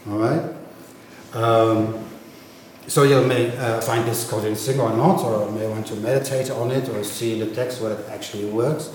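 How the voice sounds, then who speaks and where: Dutch-native male lecturer, patient explaining, very echoey hall, faint background noise; steady, mid-pitched voice. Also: moderate pace. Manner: explanatory, checks understanding with frequent "right?". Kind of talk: university lecture on linguistics. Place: lecture room.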